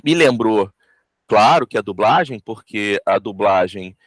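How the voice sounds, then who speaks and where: A Brazilian man, a voice actor, giving reflective, explanatory interview answers in a halting way, repeating words as he goes. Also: on the low side, expressive, no room sound. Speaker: voice actor interviewed about an audio drama.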